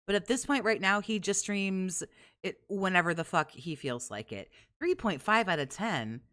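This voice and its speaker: A woman with a low voice, co-hosting and commenting casually in a blunt, sarcastic, profane way. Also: expressive, normal speed, fairly bright tone. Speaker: co-host reacting to stream.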